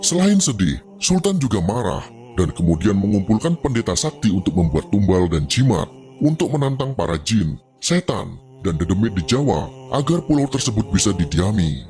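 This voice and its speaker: A man's voice: fairly low pitch, big pitch swings, moderate pace, darkish tone, faint music behind. A man reading a scripted narration in the style of legendary storytelling.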